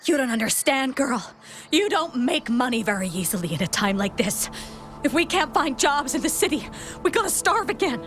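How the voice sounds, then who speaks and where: Pushy slangy exile thief in a game, high voice, kinda begging, music under it. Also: female voice, very animated, moderate pace, fairly bright tone. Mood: insistent. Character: brazen, needy exile thief scraping by. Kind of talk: video game voice acting. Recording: music playing behind the voice.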